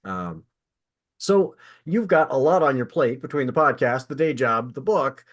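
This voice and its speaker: A man's voice: mid-range voice, lively intonation, measured pace. A man hosting a podcast in a casual, conversational style, engaged and responsive as he interviews.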